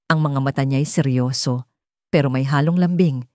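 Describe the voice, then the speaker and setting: Low, mellow woman narrating a story. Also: normal speed, dry recording, studio quality. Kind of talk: scripted first-person romantic story narration.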